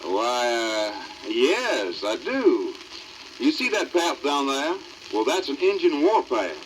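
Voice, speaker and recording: Folksy, animated American backwoods frontiersman. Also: medium pitch, slow, low-quality recording. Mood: amiable. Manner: folksy drawling, unflappable good humor. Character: big, easygoing backwoods frontiersman in coonskin cap. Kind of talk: 1950s children's record voice acting. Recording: old 78rpm record, light hiss.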